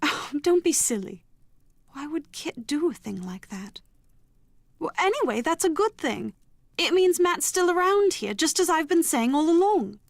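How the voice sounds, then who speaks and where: A woman's voice: extremely high pitch, very animated, a little slow, no room sound, exaggerated voice. An actress playing an anxious but insistently hopeful young woman in a video game, with a pleading, emphatic delivery. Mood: dismissive, hopeful. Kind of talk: scripted video game voice acting.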